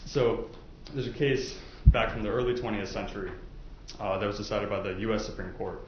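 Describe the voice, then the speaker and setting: A man speaking as a lawyer to prospective jurors, explaining and asking in an informal, halting, conversational way with plenty of hedging. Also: on the low side, fairly even intonation. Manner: halting, conversational, hedging with "I guess" and "you know". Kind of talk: informal courtroom questioning and explaining. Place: indoor courtroom. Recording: echoey courtroom, dull sound, faint noise.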